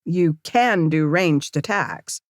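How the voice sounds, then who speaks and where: A seasoned fighting woman who leads her band with a curt, commanding air. Her voice is deep for a woman and closely recorded, matter-of-fact fantasy audiobook acting. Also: lively intonation, slow, dry recording, studio quality. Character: brisk, seasoned female leader of fantasy fighters.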